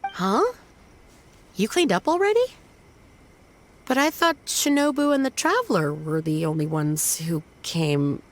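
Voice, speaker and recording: A woman's voice: medium pitch, animated, a little slow, pauses often, natural voice. A woman voicing an earnest, caring sister in a video game, plain-spoken and exclamatory. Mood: shocked. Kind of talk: scripted video game voice acting.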